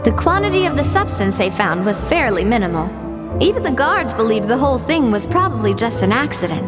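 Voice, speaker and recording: A woman voicing a composed young woman who explains things in a measured way. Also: high voice. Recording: game audio with loud music.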